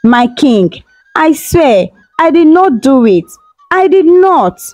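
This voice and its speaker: A desperate young woman in a storybook, begging through tears, her voice pitched high and pleading, as a woman narrator puts her on. She sounds close and dry. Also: big pitch swings, slow pace, a little mellow, no echo, soft background music, full character voice. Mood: tearful pleading. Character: young accused servant woman, pleading and tearful.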